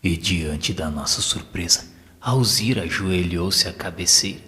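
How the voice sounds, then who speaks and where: Deep guy narrating a drama, solemn and formal, long reverb, some music under it. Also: unhurried, sharp tone. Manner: solemn, formal, literary delivery. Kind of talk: scripted audiobook-style drama narration. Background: background music.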